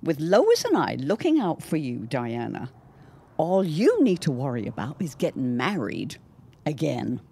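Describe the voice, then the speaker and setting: A woman playing a chatty, gently reassuring middle-aged mother in a period audio drama, exclaiming as she goes. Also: deep voice, wildly swooping pitch, unhurried, creaky, husky, full character voice. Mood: gently probing.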